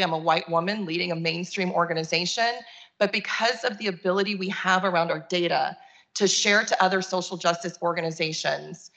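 A woman's voice: lowish voice, a bit bright. A woman giving a conversational, earnest keynote talk over a video call. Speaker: keynote speaker, nonprofit chief executive.

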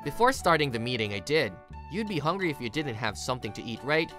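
A woman's voice: very deep voice, very animated, quick pace, fairly bright tone, exaggerated voice. A woman playing a kind, responsible young office worker and uncle in a story, with an earnest, attentive manner. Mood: explaining. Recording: music behind the voice.

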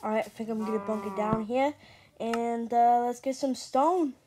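A woman's voice: on the high side, fairly even intonation, normal speed, noisy background. A woman giving casual let's play commentary, rambling and thinking aloud to her viewers. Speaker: gaming video host. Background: background music.

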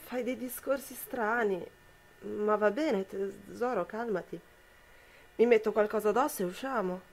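A woman's voice: medium pitch, unhurried. A young woman playing a loving, incredulous wife in an amateur radio drama. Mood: affectionate, puzzled. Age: young adult.

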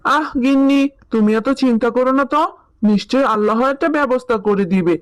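A performer voicing a gentle, reassuring animal father in a children's cartoon, speaking in a soothing, earnest manner. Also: medium pitch, brisk, smooth, natural voice. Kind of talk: Bengali children's cartoon voice acting.

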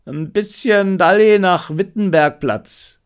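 A man reading the part of a shrewd male detective in an audiobook, brisk and decisive as he gives instructions. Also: high-pitched, smooth, voice pushed up. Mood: brisk, commanding.

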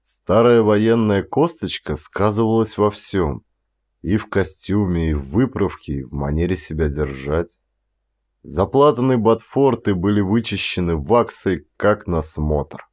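A man's voice: low voice, lively intonation, unhurried, darkish tone. A man narrating a literary audiobook as an actor reading dramatically.

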